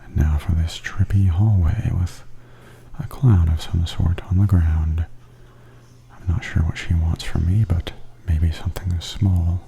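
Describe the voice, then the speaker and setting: Very mellow, low, near-whispering man. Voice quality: breathy, often near-whispered. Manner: gentle, unhurried, observational. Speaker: vlogger giving a walking tour. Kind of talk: softly spoken ASMR commentary. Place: walking through a theme park and its indoor attractions. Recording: steady background noise and ambience.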